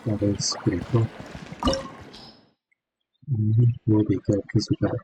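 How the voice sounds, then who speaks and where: A man's voice: lowish voice, flat delivery, a little slow. A man giving casual, laid-back game commentary, thinking aloud as he plays. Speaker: gamer, video creator. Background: loud game music.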